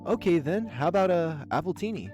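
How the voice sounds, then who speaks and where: A man's voice: fairly high pitch, animated, fairly quick, dull audio, over music, voice pushed up, lightly acted. A man voicing a friendly, playful, obliging young bartender in a visual novel.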